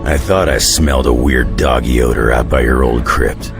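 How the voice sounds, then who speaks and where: An actor playing a gruff, cocky supernatural hero in a video game, with a gravelly growl and a wry, slangy delivery. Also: male voice, extremely low pitch, fairly even intonation, moderate pace, music in the background. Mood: cocky, joking. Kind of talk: video game cutscene acting.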